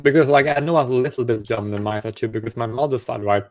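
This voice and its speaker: A man from South Africa hosting a livestream, asking a stranger questions in halting, restart-heavy casual talk over a video call. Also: medium pitch, dry recording. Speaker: livestream host chatting with strangers.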